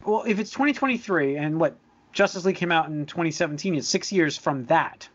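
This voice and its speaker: A man's voice: on the high side, expressive, brisk, highs cut off. A man co-hosting a casual movie podcast, giving blunt, opinionated takes with some swearing. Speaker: podcast co-host. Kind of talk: casual movie discussion among friends.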